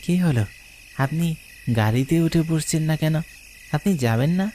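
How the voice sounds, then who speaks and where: A man's voice: on the high side, expressive, unhurried, mellow, a little hiss or hum. A man playing a mysterious, aloof bullock-cart driver in a cartoon ghost story, speaking in a cryptic, formal way.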